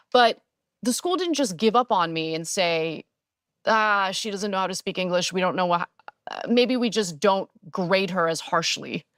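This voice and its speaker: A woman from California whose first language was Armenian tells a personal story at a middle pitch. Her delivery is earnest and steady. The recording is dry and close, with no room sound. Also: no echo. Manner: earnest, steady storyteller with occasional light laughs. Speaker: guest telling her own story. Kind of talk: conversational personal account.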